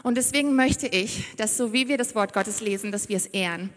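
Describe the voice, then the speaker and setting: A woman's voice: mid-range voice, a little fast. Effusive young woman preaching in a ringing hall. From Siberia. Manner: effusive, heartfelt, enthusiastic. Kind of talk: sermon with Bible reading. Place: church stage before a congregation. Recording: reverberant church hall.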